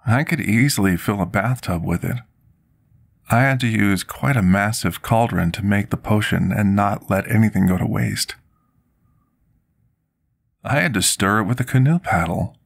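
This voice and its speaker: Gentle fantasy potion master, played by a male actor: deep, gravelly, soft-spoken ASMR, politely submissive servant. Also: normal speed, long pauses. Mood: gently concerned. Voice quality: husky, gravelly. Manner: formal, polite, submissive, archaic wording. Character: gentle, timid, deferential male potion master serving a dragon.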